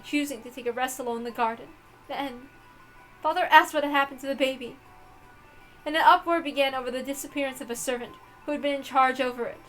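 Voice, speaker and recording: A woman's voice: high-pitched, low-quality recording. A woman voicing a proud, guilt-ridden mother confessing murder in a dramatic, emotional visual novel read-aloud. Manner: theatrical, emotional, swinging from hushed to impassioned.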